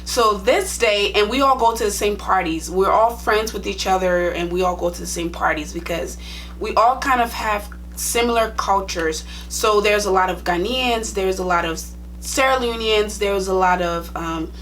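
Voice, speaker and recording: A young woman with a Liberian accent talks straight to her viewers in a casual vlog. She is chatty and frank, with a voice of ordinary pitch. A faint hum sits under the recording. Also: normal speed, a bit bright, low-quality recording. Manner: chatty, direct, plain-spoken. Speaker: nursing vlogger.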